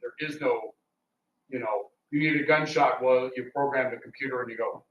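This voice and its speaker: A man's voice: mid-range voice, steady tone, normal speed, dull audio. A man talking casually on a live panel as a radio theatre performer, chatty and theatrical in his storytelling. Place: indoor room, public talk at a library. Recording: roomy, distant mic with background noise.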